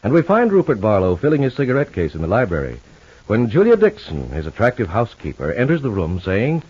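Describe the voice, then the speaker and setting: An American man delivers formal, dramatic scene-setting for an old radio serial. His low, roughened voice swings widely in pitch, and the recording is dull with age. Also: huge pitch swings, quick pace, a little mellow. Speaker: announcer and narrator. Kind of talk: scripted 1950s radio soap narration.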